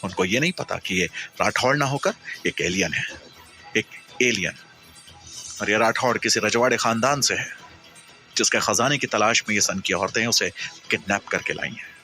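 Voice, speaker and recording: A man's voice: fairly low pitch, moderate pace, pushed and bright, soft background music. A man narrating a scripted audio story in a dramatic, suspenseful, emphatic way.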